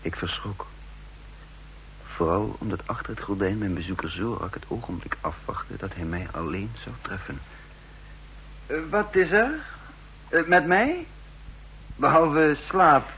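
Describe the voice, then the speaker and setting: A man's voice: on the low side, expressive, measured pace, pauses often, a bit bright, a little hiss or hum, rough recording. An actor playing a shrewd male counterintelligence chief who also narrates the radio drama, speaking with dry, self-assured irony. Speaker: narrator and lead character. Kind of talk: vintage radio drama acting.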